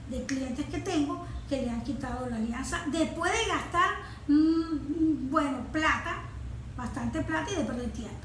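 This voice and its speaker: A woman with a Venezuelan accent speaks in a high voice, explaining as an interview guest. She pauses to search for words and checks that she is followed. The room is echoey, with faint noise behind her. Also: a bit bright. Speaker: environmental consultant, guest. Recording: roomy echo, some background noise.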